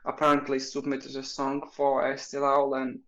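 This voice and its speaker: A man's voice: medium pitch, monotone, normal speed, a bit bright, highs cut off. A man from Latvia co-hosting a podcast in casual chat, hesitant and often restarting his sentences. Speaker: podcast co-host.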